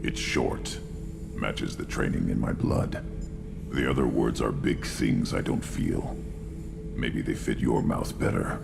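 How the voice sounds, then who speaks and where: Gravelly, very deep alien brute. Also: male voice, full character voice. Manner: blunt, halting, menacing declarations. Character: young tank-bred male alien brute warrior, hulking, blunt, proud. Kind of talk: video game character acting. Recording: music behind.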